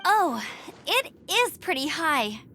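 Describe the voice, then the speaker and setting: A very high, bright young female knight scout in a video game. She is eager, feisty and headstrong, with a lively swing in her pitch. There is some ambient noise behind her. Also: slow, heavily acted. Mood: puzzled. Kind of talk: animated video game voice acting.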